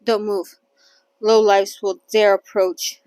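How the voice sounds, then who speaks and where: A woman's voice: medium pitch, flat delivery, takes it slowly, a little mellow, no room sound, pitched below the performer's own voice, lightly acted, poor audio. Woman voicing cool, arrogant fantasy man. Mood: cool, dismissive. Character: cool, confident, arrogant male companion in fantasy novel.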